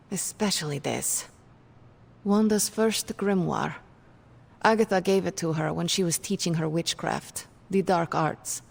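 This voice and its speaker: Casting: bitter young game sorceress, low creaky voice, haughty and curt. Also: female voice, full character voice. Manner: curt, disdainful. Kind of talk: video game cutscene acting.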